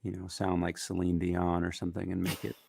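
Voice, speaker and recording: A man with a raspy, gritty voice, talking casually as a musician guest in an interview, rambling with fillers. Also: low-pitched, a little fast, no room sound. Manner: relaxed, rambling with fillers and restarts.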